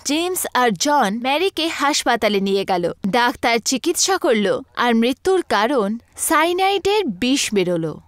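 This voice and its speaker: A woman's voice: on the high side, big pitch swings, unhurried, no echo, studio quality. A woman narrating a scripted horror story in a dramatic style.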